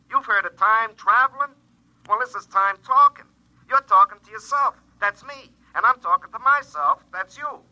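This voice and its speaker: An actor playing a scheming, insistent future self in a classic radio drama, explaining emphatically through a telephone filter. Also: male voice, sits high, bright, forceful tone, full character voice. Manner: emphatic, explanatory, repeating himself. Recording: tinny, band-limited telephone line.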